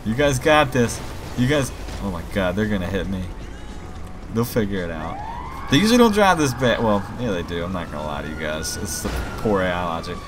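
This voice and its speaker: A man's voice: deep voice, lively intonation, brisk, noisy background. A man giving a casual, rambling gameplay walkthrough as he demonstrates his own game mod. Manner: informal, rambling explanations. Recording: game music and vehicle sirens behind voice. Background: game music, vehicles, police sirens.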